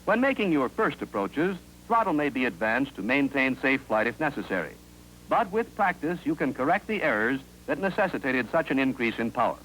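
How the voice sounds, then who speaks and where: An American man reading scripted narration for a 1950s instructional film in a clear, authoritative, instructive manner, on an old film soundtrack. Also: mid-range voice, very animated, fairly quick, fairly bright tone, slight background noise, rough recording.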